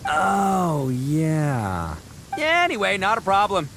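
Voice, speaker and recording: A man's voice: high-pitched, huge pitch swings, slow pace, very noisy, exaggerated voice. A man voicing a brash, boastful but good-natured young oni in a video game, with a cocky, exuberant, swaggering delivery. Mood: confident. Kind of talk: animated video game voice acting. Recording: game audio with background music.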